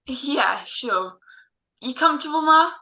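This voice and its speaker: A woman's voice: high-pitched, animated, takes it slowly, sharp tone, exaggerated voice. A woman playing an earnest, restless, dutiful son in a radio drama. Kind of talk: classic radio drama acting.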